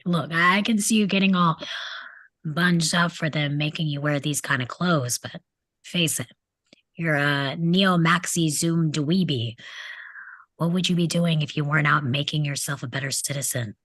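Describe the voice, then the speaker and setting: A woman's voice: low-pitched, normal speed, a bit bright, no room sound. A woman reading the part of a mocking, crude teenage delinquent boy at a table read of a film script. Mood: sneering. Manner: mocking, needling, insolent.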